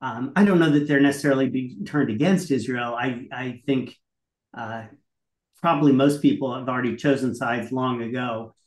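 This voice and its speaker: A middle-aged man, a guest commentator on a video call, frustrated but measured. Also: medium pitch. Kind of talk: political commentary chat. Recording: roomy video call audio.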